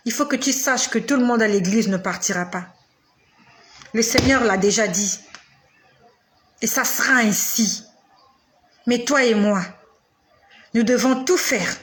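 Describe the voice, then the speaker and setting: A woman in her forties preaching a sermon straight to the listener, with slow, emphatic repetition and dramatic pauses. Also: fairly high pitch. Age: 40s.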